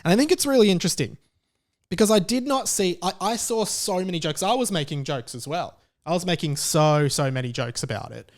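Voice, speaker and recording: A man with a slightly high voice talks through his opinions in a casual podcast style. His delivery is conversational and halting, and he leans hard on the words he wants to stress. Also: expressive. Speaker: podcast host.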